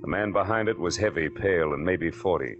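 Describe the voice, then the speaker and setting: A man plays a hard-boiled American private eye from a vintage radio noir. His voice is deep, his delivery terse and sardonic. Clear music plays behind him. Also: fairly quick, highs cut off, lightly acted. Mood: wry narrating. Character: wry, hard-boiled male private detective. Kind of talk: 1940s radio noir narration and acting.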